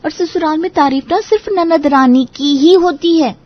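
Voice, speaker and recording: Woman acting very high-voiced, blunt gossipy friend. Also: moderate pace, lo-fi sound, lightly acted. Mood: bitter warning. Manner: emphatic, warning. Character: newly married young woman friend, blunt, cynical, gossipy. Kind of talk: Hindi audio story dramatic acting.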